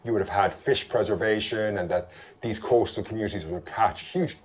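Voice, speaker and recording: A man from Ireland narrates a history video in a low voice. His delivery is clear, steady and explanatory, plainly read from a prepared script. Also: brisk. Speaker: history presenter.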